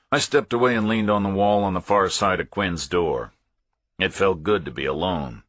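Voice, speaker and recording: A man narrates a thriller audiobook in a very low, raspy voice. His delivery is measured and matter-of-fact, and the sound is dry and close. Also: fairly bright tone, no room sound. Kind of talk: scripted first-person thriller narration.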